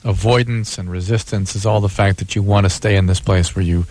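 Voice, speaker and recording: A man giving calm, clinical advice to callers on a call-in radio show. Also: deep voice, fairly quick. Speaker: radio co-host and doctor. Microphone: studio microphone.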